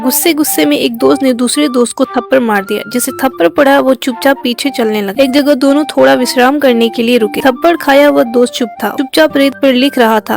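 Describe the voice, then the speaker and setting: A woman's voice: high voice, creaky. A woman narrating a scripted moral tale. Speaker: story narrator.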